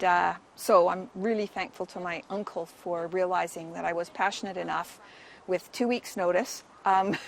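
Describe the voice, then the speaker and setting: A woman in her sixties with a Canadian accent, a volunteer answering interview questions in an earnest, thoughtful way with frequent pauses. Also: lowish voice, slight background noise. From Wales. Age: 60s. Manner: earnest, thoughtful, many ums. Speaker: dig volunteer. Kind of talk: on-camera interview.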